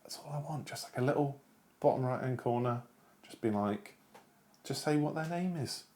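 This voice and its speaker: A man's voice: mid-range voice, lively intonation, normal speed, mellow. A British man co-hosting a book discussion, chatting informally and using plenty of slang. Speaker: book discussion show co-host. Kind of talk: casual unscripted chat about books.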